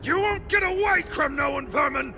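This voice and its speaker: A man voicing a boastful, bloodthirsty enemy warrior in a fantasy game, gravelly, theatrical and taunting. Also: very high voice, normal speed, sharp tone, soft background music, full character voice. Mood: menacing boastful. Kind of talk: fantasy video game acting.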